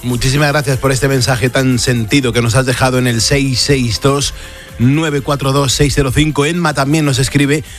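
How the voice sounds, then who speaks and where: A man's voice: medium pitch, steady tone, moderate pace, soft background music. A man with an accent from Spain hosting a radio show, reading listener messages in an effusive, affectionate manner. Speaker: radio host.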